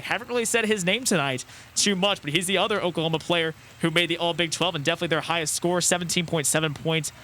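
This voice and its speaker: Bright young male color commentator. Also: on the high side, a little hiss or hum. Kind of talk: unscripted basketball game analysis.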